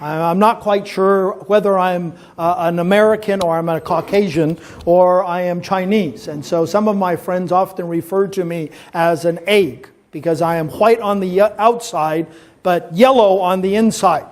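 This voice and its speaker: High-voiced man in his fifties, storytelling. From Taiwan. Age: 50s. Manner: relaxed storytelling with gentle jokes and frequent "uh" pauses. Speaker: pastor. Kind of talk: informal talk to a youth audience at a church event.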